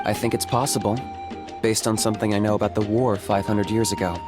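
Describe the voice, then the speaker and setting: A stoic young warrior played by a man in a video game, speaking in a lowish, level voice with no hint of a smile. He stays blunt and practical, with faint game music underneath. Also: lightly acted. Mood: deadpan. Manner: deadpan, calm and measured.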